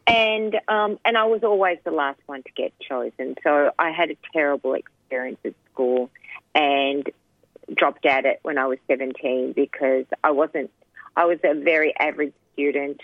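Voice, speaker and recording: A low-pitched woman in her sixties, from Sri Lanka, recounts her past in a soft, hesitant way with frequent pauses. Her voice comes through a narrow, phone-like line with a dry sound. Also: no echo. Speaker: interviewee. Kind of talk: personal storytelling interview.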